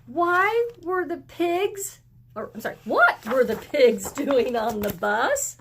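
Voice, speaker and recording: Lady reading as a storybook mom or dad, slow and disbelieving, asking the kid questions. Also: high voice, lively intonation, slight background noise, pitched above the performer's own voice, exaggerated voice. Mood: incredulous. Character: incredulous parent questioning a child about a field trip. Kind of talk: picture book read-aloud.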